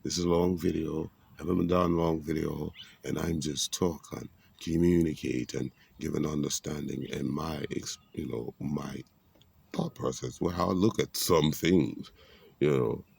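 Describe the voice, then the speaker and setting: Deep gravelly man rambling preachy talk. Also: steady tone, unhurried, a little mellow, slight background noise. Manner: rambling, preachy, streetwise talk with biblical references. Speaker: vlogger giving a monologue. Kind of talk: casual spiritual monologue.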